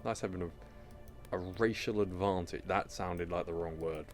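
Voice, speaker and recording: A man's voice: sits low, measured pace, soft background music. A man giving casual gameplay commentary as he plays, rambling and wry. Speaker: gamer, channel host.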